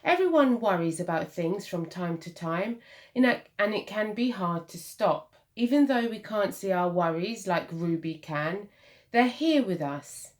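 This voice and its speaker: Slow, animated woman reading a children's storybook. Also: medium pitch. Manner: deliberate, dramatic pauses and emphasis for young listeners. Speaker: primary school teacher reading a picture book.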